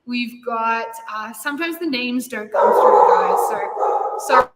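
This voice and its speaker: A woman's voice: a little high, measured pace, very noisy. Upbeat woman host in an echoey room. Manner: upbeat, laughing, lively. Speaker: host and CEO. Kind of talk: casual live-stream hosting. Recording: echoey room with long reverb.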